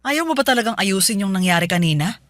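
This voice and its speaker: A woman's voice: medium pitch, lively intonation, quick pace, dry recording, studio quality, close to an everyday voice. An actress playing a hurt wife in a radio drama, pressing her husband with pleading and accusing questions. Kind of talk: Filipino radio drama acting.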